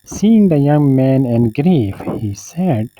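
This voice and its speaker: A man narrating a scripted moral story in a measured storyteller manner. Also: mid-range voice, expressive, dark tone, no echo, poor audio.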